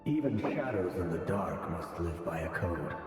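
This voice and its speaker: A man playing a cryptic, manipulative voice inside someone's head in a video game, calm and portentous, drenched in echo. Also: deep voice, moderate pace. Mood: cryptic, insistent. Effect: heavy echoing reverb. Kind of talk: dramatic video game acting. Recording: distant echoing hall, loud music.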